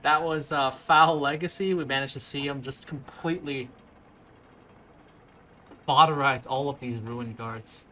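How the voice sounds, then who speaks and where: Bright-voiced young man commenting on a game. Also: medium pitch, steady tone, unhurried, long pauses, a little hiss or hum. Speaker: let's play host. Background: faint game music.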